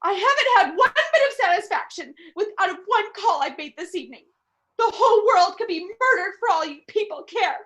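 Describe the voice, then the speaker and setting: A bedridden woman in a radio drama, very high and piercingly bright in voice. She is nervous and imperious, fretting and complaining with melodramatic indignation. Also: lively intonation, fairly quick. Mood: exasperated, complaining. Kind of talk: radio play acting.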